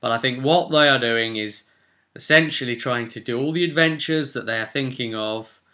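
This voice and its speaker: Bright-voiced man thinking aloud, casual vlog. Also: mid-range voice, moderate pace. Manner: informal, thinking aloud, conversational. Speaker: vlogger and tabletop game master. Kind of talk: casual gaming vlog monologue.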